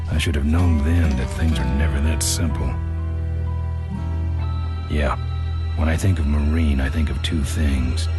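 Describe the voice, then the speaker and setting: An actor playing a gruff, world-weary biker hero in a video game, with a gravelly voice and a deadpan, laconic delivery. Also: male voice, rumbling low. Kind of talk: noir-style game narration. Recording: loud music behind the voice. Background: guitar music.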